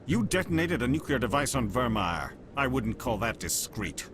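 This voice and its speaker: A man's voice: medium pitch, sing-song, moderate pace, noisy background. A man playing a stern, scornful alien councilor in a science fiction game, speaking in a clipped, dismissive way. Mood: reproachful.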